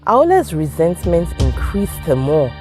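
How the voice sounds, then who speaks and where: A woman with a Nigerian accent narrating a folktale in dramatic, measured phrases. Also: fairly low pitch, big pitch swings, dark tone. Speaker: storyteller. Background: music.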